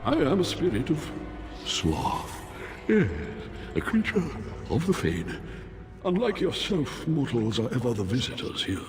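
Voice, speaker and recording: An actor playing a lazy, weary beast spirit in a video game, with a languid, condescending drawl. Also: male voice, medium pitch, big pitch swings, unhurried, long pauses. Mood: bored, disdainful. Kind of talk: video game character acting. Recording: long reverb, music behind.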